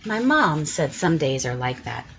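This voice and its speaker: A woman reading a children's picture book aloud in an expressive, emphatic way, drawing out key words. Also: sits low, unhurried. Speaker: children's story reader and host. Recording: dull, lo-fi sound.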